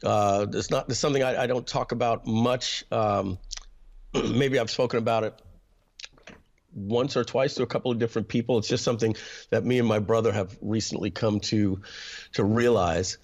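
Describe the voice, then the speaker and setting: Throat-clearing New man, low voice. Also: steady tone, normal speed. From New York. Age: 50s. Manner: hesitant, frequent throat clearing and fillers. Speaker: interview guest. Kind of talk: personal storytelling in an interview.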